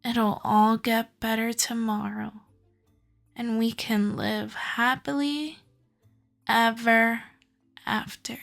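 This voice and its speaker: Casting: actress as lovesick female kidnapper for ASMR roleplay, high-pitched, unhurried, doting and softly controlling, music underneath. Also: steady tone, gravelly, exaggerated voice. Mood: doting, reassuring. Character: obsessive, sweetly possessive young woman kidnapper in love.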